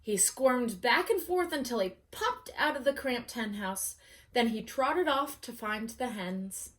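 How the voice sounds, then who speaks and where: A woman storyteller reading aloud to children in an expressive, dramatic way, as at bedtime story time. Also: a little high, unhurried.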